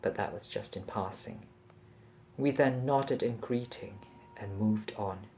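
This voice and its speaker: A man reading a passage from a novel aloud in a measured, deliberate and expressive storytelling manner. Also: lowish voice, slight background noise.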